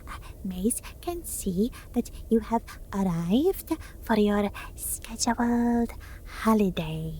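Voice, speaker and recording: A woman performing a gentle ASMR roleplay as a friendly alien medic, often whispering, halting and deliberate, referring to herself in the third person. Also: mid-range voice, animated, slight background noise.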